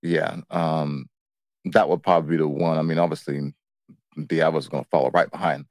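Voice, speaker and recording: Very deep-voiced man, casual and matter-of-fact. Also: little pitch movement, brisk, darkish tone, no echo. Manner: colloquial, matter-of-fact, says "man" and "you know". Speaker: co-host of a couples' relationship show. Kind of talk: casual, unscripted relationship chat.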